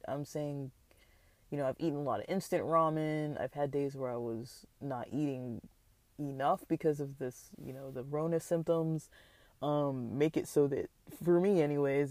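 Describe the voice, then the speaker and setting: Vlogger, slightly stuffy and raspy, mellow dark tone, dry close sound; rambling, hesitant delivery full of "like" and "um". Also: medium pitch, dry recording. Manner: rambling, hesitant, many fillers; candid and reflective. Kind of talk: casual personal vlog talk.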